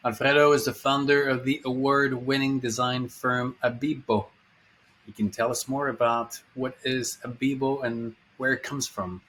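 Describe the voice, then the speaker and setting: A man hosts a relaxed podcast conversation in a voice of middle pitch. He asks friendly, loose questions, often stopping and restarting as he works out his wording. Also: low-quality recording. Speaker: podcast host. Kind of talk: casual podcast interview.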